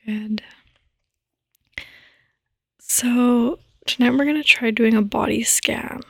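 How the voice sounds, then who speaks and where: A woman gently guiding a sleep meditation in a soft, breathy, soothing voice that often nears a whisper, close to the microphone. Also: a little high, fairly even intonation, slow pace, no room sound. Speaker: podcast host and meditation guide. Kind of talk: guided meditation, sleep podcast.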